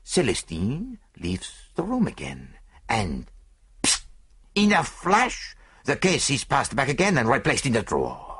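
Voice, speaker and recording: A man's voice: medium pitch, wildly swooping pitch, measured pace, fairly bright tone, husky, pitched above the performer's own voice, heavily acted. A man voicing a vain, fussy middle-aged Belgian detective in an audiobook, with a French-speaking Belgian accent and precise, theatrical delivery. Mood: triumphant, explanatory. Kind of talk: audiobook character dialogue.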